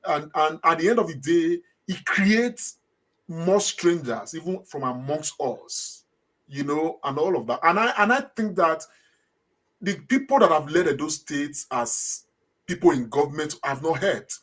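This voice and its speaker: Man, Nigerian accent, middle-aged, slightly raised pitch, hesitant, repeats himself. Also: lively intonation, measured pace, fairly bright tone. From Edo State, Nigeria. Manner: hesitant, repeats words and says uh often. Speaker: guest. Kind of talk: conversational interview answer.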